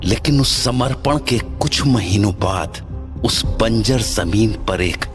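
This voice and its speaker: A man's voice: lowish voice, takes it slowly. A man narrating a scripted horror tale in a solemn, dramatic manner. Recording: music behind the voice.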